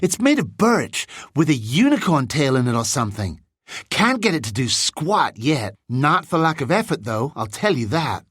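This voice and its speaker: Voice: medium pitch, big pitch swings, moderate pace, breathy edge, dry recording, clean studio sound, voice pushed up. A narrator voicing a chatty, breezy, wisecracking young American boy wizard in an audiobook, talking in guileless slangy bursts. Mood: joking, casual.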